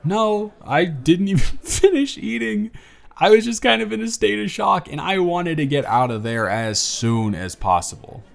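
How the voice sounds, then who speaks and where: A man's voice: high-pitched, normal speed, gravelly, heavily acted. A man playing a giddy, smitten young man on a blind date, bantering playfully in a one-sided romantic audio roleplay. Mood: exasperated, embarrassed. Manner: animated, bantering, playful storytelling with stammers.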